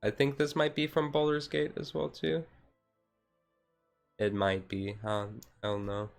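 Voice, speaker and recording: A man's voice: mid-range voice, monotone, unhurried, vocal fry. A man streaming a casual, laid-back ASMR chat in a rambling way, with music behind him.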